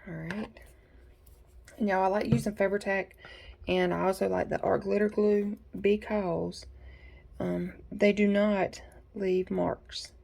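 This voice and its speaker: A woman's voice: medium pitch, little pitch movement, slow pace, creaky, a little mellow. A woman, a bit congested from allergies, chatting folksily with viewers as she works at her home craft desk. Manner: chatty, folksy, talks to viewers while working. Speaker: craft tutorial host. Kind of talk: casual step-by-step craft tutorial.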